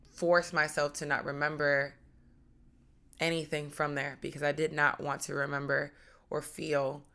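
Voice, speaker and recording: Flat-voiced woman with vocal fry. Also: sits low. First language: Portuguese. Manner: candid, reflective, measured. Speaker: podcast host. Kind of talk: personal storytelling monologue.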